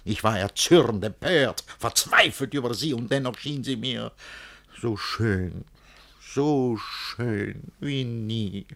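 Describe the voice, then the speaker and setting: An actor playing a jealous, lovesick older master who declaims rhymed verse in a classic German radio drama. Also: male voice, medium pitch, slow, vocal fry, a bit bright. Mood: brooding, despairing. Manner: theatrical rhymed verse declamation, swinging from brooding to outraged.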